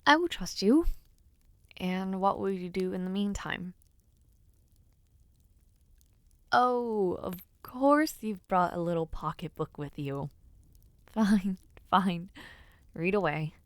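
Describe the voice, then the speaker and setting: A woman acts out a young noblewoman who hopes to become a knight, in an intimate fantasy audio roleplay. She takes her time, choosing refined, slightly formal words with sincere affection and a self-deprecating streak. The sound is close and dry, with a mid-range pitch. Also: expressive, clean tone, no echo. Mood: sleepy, teasing. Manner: gently formal, refined phrasing, unhurried and sincere. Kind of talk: audio roleplay, intimate one-sided dialogue to listener.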